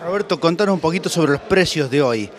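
Argentine male TV presenter, higher-pitched voice. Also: expressive, fast talker, crowd noise. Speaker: TV host, agricultural journalist. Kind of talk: TV presenting and interviewing. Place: large indoor event hall. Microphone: handheld interview microphone.

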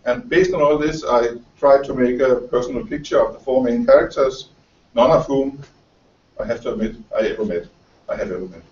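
Danish-born journalist in his 50s, halting lecture delivery, picked up from afar in a roomy room. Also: mid-range voice, darkish tone. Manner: halting, many fillers and repeated words. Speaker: journalist and author giving a lecture. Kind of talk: lecture talk reading from notes. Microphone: far camera. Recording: roomy, distant, dull camera audio.

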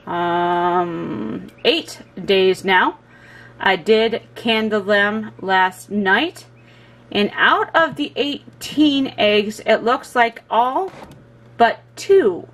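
A woman's voice: mid-range voice, a bit bright. A woman in her forties, a homesteader giving a chatty how-to vlog with many fillers, filmed on a handheld phone on a porch and indoors. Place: porch outdoors, then inside a small home. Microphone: handheld phone camera.

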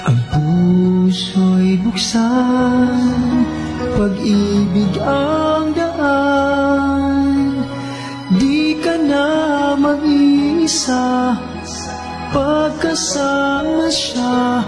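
A woman's voice: sits high, very slow. A woman with a Filipino accent singing a devotional radio station jingle. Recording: echoey, under loud music.

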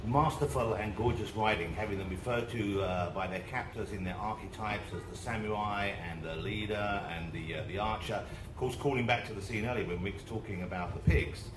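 A man's voice: fairly low pitch, fairly quick. A man with an English accent moderating a convention panel in a tent, joking with the cast as he asks questions. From England. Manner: jokey, self-deprecating. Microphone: handheld mic at a podium. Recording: echoey tent with crowd noise.

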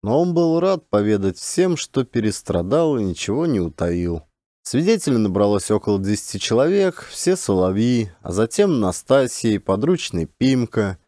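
Male audiobook narrator, dramatic literary read, soft dark tone, dry sound. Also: on the low side, sing-song, moderate pace, no echo.

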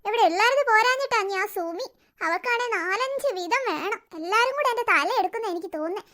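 Woman doing a cartoon mom, quick high voice, caring but always fussing n chiding. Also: lively intonation, pushed and bright, full character voice. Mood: weary grumbling. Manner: homely, fussing, mildly scolding. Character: caring, overworked mother who chides her children.

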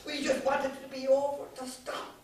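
An actor with an Irish accent playing an old war veteran on stage, eloquent, defiant and haunted, declaiming deliberately. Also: male voice, very high voice, completely flat delivery. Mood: anguished. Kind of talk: live stage play acting. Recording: echoey theatre stage, distant mic, faint hiss.

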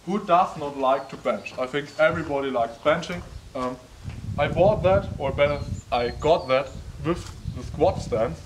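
A young man giving a casual, chatty walkthrough tour of an old wooden barn, with lots of ums, recorded on a camera mic from a distance. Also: fairly high pitch. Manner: chatty, frequent ums, gestures while walking. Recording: echoey barn, distant mic, faint noise.

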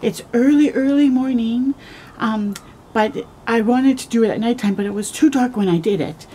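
A middle-aged woman reads a picture book to children in a high, mellow voice. She takes her time, drawing out words expressively and adding small asides. The recording is made outdoors, with clear background noise. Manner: expressive, slow storytelling with gasps and drawn-out words. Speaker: children's storytime host. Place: outdoors, porch or yard in early morning.

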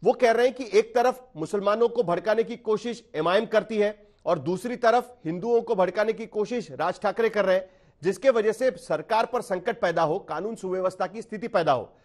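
A man's voice: sits high, normal speed, a little mellow. A man in his forties with an Indian accent, questioning a guest as a broadcast news anchor. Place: TV news studio.

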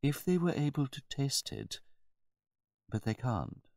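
A grave butler played by a male storyteller speaks with formal, measured courtesy. Beneath the deference sits quiet disdain and polite condescension, in a dry, close storybook reading. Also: medium pitch, sing-song, no room sound, pitched above the performer's own voice. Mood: politely dry.

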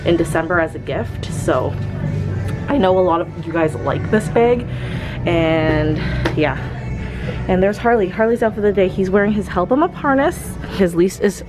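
A woman's voice: lowish voice, moderate pace, over music, poor audio. A young woman in her twenties chatting casually through a clothing try-on vlog, rambling with fillers as she films on a handheld camera in a shop. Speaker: fashion vlogger. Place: clothing store, indoors.

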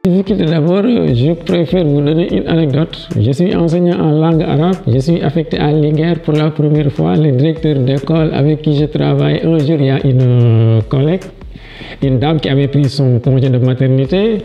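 A middle-aged man with a Senegalese French accent, answering interview questions from his office with halting restarts and stories told with growing energy. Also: on the high side, vocal fry, muffled recording, soft background music. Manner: halting restarts and repeated words, livelier when telling stories. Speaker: education official interviewed as guest. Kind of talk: interview answers, personal anecdotes. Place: office with large window, indoors. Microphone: lapel mic.

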